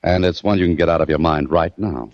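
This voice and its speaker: A man plays a skeptical, brisk male adventurer from an old radio drama. His deep voice is clipped and sardonic, with lively inflection. The sound is dull and very dry, like a vintage broadcast. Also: no echo, lightly acted. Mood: firm, skeptical. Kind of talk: old-time radio drama acting and narration.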